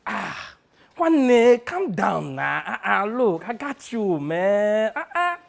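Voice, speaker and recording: A man acts out a brash Nigerian talent agent in a stage-style monologue. He is money-minded and cajoling, with animated exclamations that often leap high in pitch and swell in volume. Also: slow, creaky, exaggerated voice. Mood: cajoling, upbeat. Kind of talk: one-person dramatic monologue.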